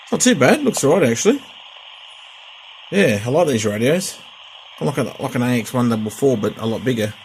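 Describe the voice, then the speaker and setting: A man's voice: medium pitch, very animated, brisk, pauses often, a little hiss or hum, poor audio. A middle-aged man chatting casually through a radio unboxing and repair, rambling with fillers and restarts. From Australia. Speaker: hobbyist vlogger.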